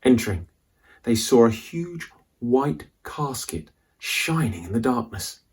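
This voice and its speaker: A man reading a children's adventure story aloud as a dramatic, suspenseful narrator with deliberate delivery. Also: medium pitch, big pitch swings, husky.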